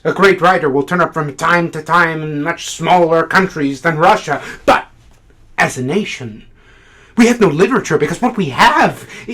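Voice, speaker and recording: A man performing a theatrical monologue as a fervent, awkward, idealistic literary critic, halting at times and then declamatory. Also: on the high side, fairly bright tone, heavily acted. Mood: earnest, halting.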